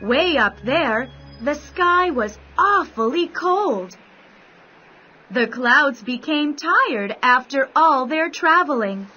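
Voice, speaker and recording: A woman narrating a scripted children's bedtime story in deliberate, careful phrases. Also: high-pitched, expressive. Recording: dull sound, soft music behind.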